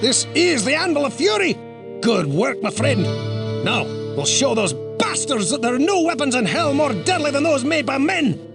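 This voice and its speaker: An actor playing a hearty, boastful blacksmith merchant in a video game, telling tales in an animated way. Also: male voice, extremely high pitch, moderate pace, gravelly, exaggerated voice. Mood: proud, excited. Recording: loud music behind.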